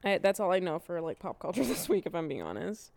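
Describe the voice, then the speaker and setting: A young woman co-hosting a casual chat podcast, her nose stuffy from allergies, chatty and quick to react. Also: lowish voice, sing-song, creaky, a little mellow, dry recording. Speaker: podcast co-host.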